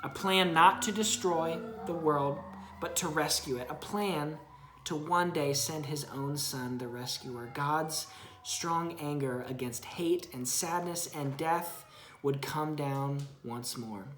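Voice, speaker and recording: A woman's voice: sits low, slow pace, fairly bright tone, roomy sound, a little hiss or hum. A woman reading a children's Bible story aloud expressively, chatting with a young child and asking simple questions.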